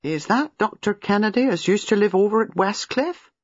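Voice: medium pitch, normal speed, no echo, pitched above the performer's own voice, natural voice. A narrator doing an old rustic gardener. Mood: gossipy. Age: older. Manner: rural dialect speech. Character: old country gardener, gruff and rambling. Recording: dull lo-fi dry recording.

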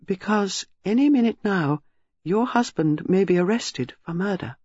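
A reader voicing a prim, shrewd, gentle elderly spinster with a measured, grave manner. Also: medium pitch, expressive, no room sound, dull audio, voice pushed up. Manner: measured, grave, quietly vexed.

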